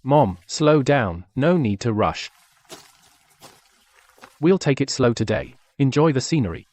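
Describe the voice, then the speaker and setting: An actor playing a devoted, calm and protective grown son in a dubbed melodrama. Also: male voice, mid-range voice, measured pace, dry recording. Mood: reassuring.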